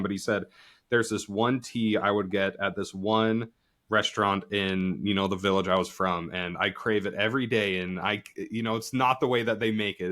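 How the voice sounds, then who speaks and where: A man's voice: medium pitch, a bit bright. A man from Utah hosting a podcast interview, speaking in an easygoing, thoughtful way with some hesitations. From Utah, USA. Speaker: podcast host. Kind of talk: conversational interview.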